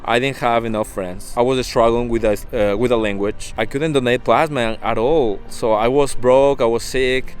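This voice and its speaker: A man's voice: medium pitch, steady tone, normal speed, hardly any pauses. A man from Ecuador with a Spanish accent tells his personal story in a casual, earnest vlog style, in non-native English. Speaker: vlogger. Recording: music under the voice.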